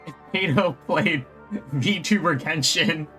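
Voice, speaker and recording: Let's play streamer: informal, reactive, sharp bright tone, game music underneath. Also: medium pitch, slow pace. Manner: informal, reactive, reads game lines aloud. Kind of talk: casual live let's play commentary.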